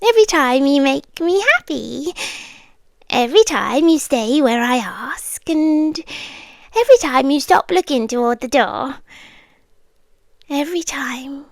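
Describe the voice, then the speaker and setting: A woman voicing a possessive, sweetly menacing queen of the forest, who speaks softly, slowly and coaxingly, often in a whisper. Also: high-pitched, animated, no echo. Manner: soft, slow, whispery and coaxing. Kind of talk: scripted audio roleplay.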